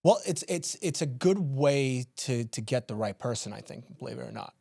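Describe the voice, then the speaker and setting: A playful New York man hosting his podcast from a small studio, teasing and rambling with plenty of restarts. His voice sits at an ordinary middle pitch and is caught dry and close on the mic, with no room sound around it. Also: animated, moderate pace, gravelly, a little mellow, husky, dry recording. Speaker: podcast host. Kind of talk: casual podcast chat.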